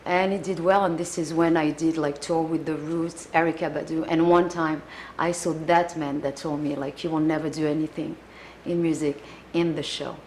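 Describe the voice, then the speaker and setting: A woman with a French accent and a somewhat low voice talks earnestly in an interview, reaching for her English words and filling gaps with "like." A faint hum of room ambience sits behind her. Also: fairly even intonation, moderate pace, darkish tone. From France. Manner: earnest, searching for words, many "like" fillers. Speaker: singer, interview guest. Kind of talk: casual interview answers.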